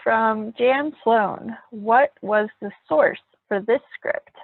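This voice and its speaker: Thin, phone-like audio carries a woman moderator of average pitch. She takes her time as she informally reads out questions for a panel, with a dry sound. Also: slow pace, no echo. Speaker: moderator reading audience questions. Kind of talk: casual question and answer hosting. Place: remote group video call.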